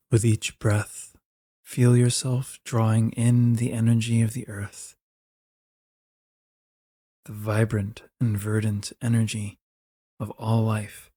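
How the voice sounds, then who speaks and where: A man leads a guided relaxation at a very slow, unhurried pace. His tone stays soft and even, with little rise or fall, and has a dark, mellow color. The phrasing is gentle and soothing, like careful instructions for settling down. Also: on the low side, slightly rough, no room sound, studio quality. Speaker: guided meditation narrator.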